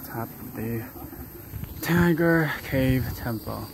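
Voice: mid-range voice, slow, vocal fry. A travel vlogger narrating casually in short, exclamatory remarks into a handheld device. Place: outdoors on a mountain temple stairway and summit. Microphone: handheld phone or camera held by speaker. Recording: noisy outdoor handheld recording, insect ambience.

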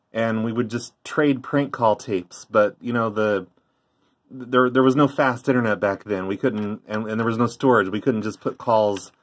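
A middle-aged man giving an offhand, rambling show-and-tell commentary in a casual way. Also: lowish voice. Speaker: vlogger, former prank call tape trader. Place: indoors by a tape deck.

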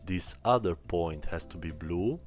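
A man explaining his bot software in a screen-recorded tutorial, speaking haltingly with many fillers. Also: deep voice, animated, soft background music. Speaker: bot developer demonstrating software.

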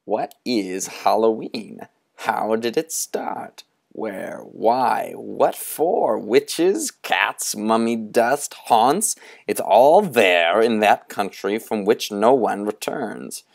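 A man's voice: lowish voice, expressive, very slow, gravelly, heavily acted. A man voicing a gaunt, mysterious older man who beckons theatrically and slips into whispers.

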